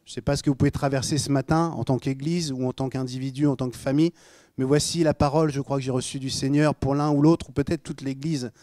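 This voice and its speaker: A man's voice: mid-range voice, steady tone, fast talker, warm tone, dry recording, studio quality. A middle-aged man from Normandy with a northern French accent, speaking as a guest pastor in an informal, joking, conversational way to a congregation. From Normandy, France. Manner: jokey, conversational, addressing listeners directly.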